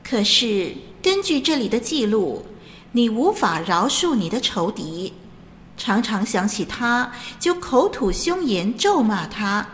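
A woman acts as a stern angel judging souls at heaven's gate in a radio drama. She pronounces each verdict slowly and solemnly, in a fairly high female voice. A long, hall-like reverb surrounds her. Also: sing-song. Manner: solemn, measured pronouncements of judgment. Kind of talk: scripted Mandarin radio drama acting. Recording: long cavernous reverb with background noise.

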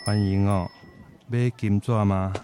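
A man's voice: on the low side, fairly even intonation, over loud music. A man playing a kindly old Taiwanese grandfather who keeps a shop, in a radio drama, with a Taiwanese accent. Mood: genial, musing. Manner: code-switches into Taiwanese Hokkien, fond and unhurried.

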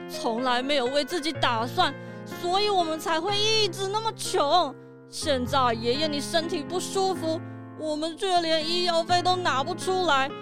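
女聲演的尖細焦急孝順小狗. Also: female voice, extremely high pitch. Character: caring, fretful young dog, devoted grandchild. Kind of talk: children's audio drama. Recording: music behind the voice.

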